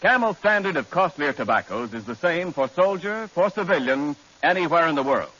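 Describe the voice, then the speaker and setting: A man's voice: on the high side, lively intonation, a little fast. A man announcing a scripted 1940s radio advertisement in a polished, emphatic way. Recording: muffled 1940s radio broadcast.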